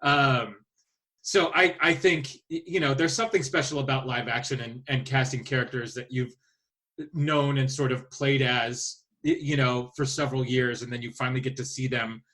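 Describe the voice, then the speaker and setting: A man hosting a podcast in casual chat, talking in a halting, thinking-aloud way. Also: medium pitch, steady tone, pushed and bright. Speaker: podcast host.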